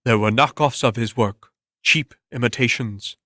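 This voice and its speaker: A man's voice: mid-range voice, normal speed, bright, forceful tone, no room sound, clean studio sound. A man narrating a scripted story as part of a dramatic reading.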